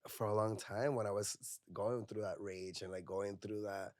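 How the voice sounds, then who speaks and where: Guest: low-voiced young man from Puerto Rico, reflective and candid, dry close sound. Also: level tone, moderate pace, darkish tone, no room sound. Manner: reflective, heavy use of "like" and "you know". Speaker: podcast guest, mental health counselor. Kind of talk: candid personal conversation.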